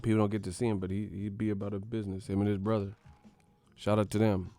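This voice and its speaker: A man's voice: fairly low pitch, little pitch movement, fast talker, warm tone, faint music behind. A man hosting a boxing channel who rants in a slangy, profane way, laughing and mocking as he talks. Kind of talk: profane comedic vlog rant.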